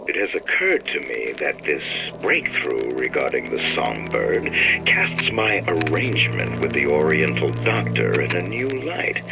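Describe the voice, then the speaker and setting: An actor playing a grandiose, scheming industrialist in a game audio diary, with pompous, florid delivery and an old-time recording filter. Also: male voice, low-pitched, creaky, exaggerated voice, poor audio. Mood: calculating. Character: grandiose scheming male industrialist, coldly self-satisfied. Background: music.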